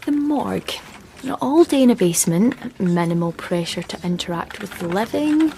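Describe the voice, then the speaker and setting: A woman's voice: medium pitch, lively intonation, moderate pace, creaky, warm tone, slight background noise. An actress in a radio play playing a shy, unemployed female graduate, hesitant and dryly ironic. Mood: wry.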